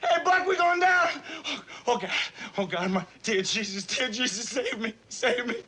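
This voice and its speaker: An actor playing a terrified young airman reliving a plane crash, sobbing, shouting and pleading. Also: extremely high pitch, expressive, slow, slightly rough, heavily acted. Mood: panicked.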